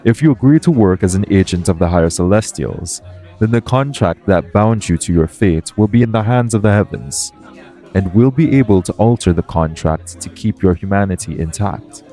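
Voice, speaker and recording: A man playing a composed, formal angel in an audio roleplay, speaking in a measured, persuasive way. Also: sits low, animated, dark tone, faint music behind. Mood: calm, persuasive. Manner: formal, measured, solemn recruiter.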